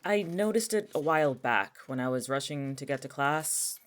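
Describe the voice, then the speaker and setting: Casting: male actor for jobless young cynic, superstitious and exasperated, quick to argue, in natural audio-fiction dialogue. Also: mid-range voice, animated, fairly bright tone, natural voice. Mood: wary, earnest. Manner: sardonic, exasperated, argumentative.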